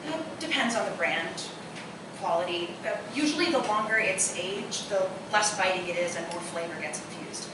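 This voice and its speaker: The sound is heavily echoing and noisy, as in a live radio play recorded from a distance. An actress plays a witty, opinionated woman who savors her bourbon, speaking in a middle pitch. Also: moderate pace, close to an everyday voice. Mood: savoring, explaining. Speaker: stage actor. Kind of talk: live radio-play dialogue before a microphone. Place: live theatre performance space.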